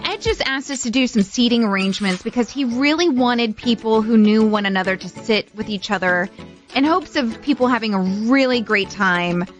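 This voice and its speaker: A woman's voice: on the high side, lively intonation, moderate pace, faint music behind, lightly acted. A woman playing a polite, upbeat party planner on a prank phone call, effusively courteous and businesslike. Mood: chirpy, courteous.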